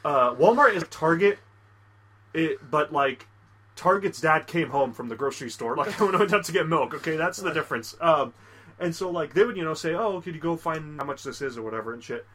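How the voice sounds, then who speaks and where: A young man with a bright, forceful voice that sits a little high, co-hosting a podcast. He rambles through crude anecdotes in loose casual chat. He laughs along and drops into mock voices when he quotes people. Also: animated, brisk. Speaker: podcast co-host.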